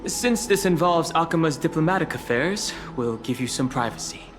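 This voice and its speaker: An actor playing a calm, courteous young male adventurer in a video game. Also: fairly high pitch, normal speed, faint music behind, lightly acted. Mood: calm, agreeable. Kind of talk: scripted video game dialogue.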